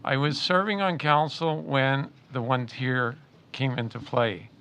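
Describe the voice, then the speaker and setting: A man's voice: medium pitch, fairly even intonation, a little slow, fairly bright tone, a little hiss or hum. A man in his sixties, introducing himself as a local election candidate in a plain, conversational way with some hesitations. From Canada. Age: 60s. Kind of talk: unscripted self-introduction.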